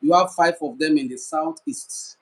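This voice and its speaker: Nigerian man, fairly high voice for a man, emphatic explainer who repeats and lists points. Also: normal speed. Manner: repetitive, emphatic listing. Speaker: cultural commentator. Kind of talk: educational talk to camera.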